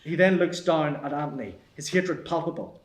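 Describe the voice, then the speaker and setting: Casting: male narrator for screenplay action lines, higher-pitched, recorded with clear room echo. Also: expressive, moderate pace. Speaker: narrator reading stage directions. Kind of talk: scripted screenplay narration at a table read. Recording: echoey room.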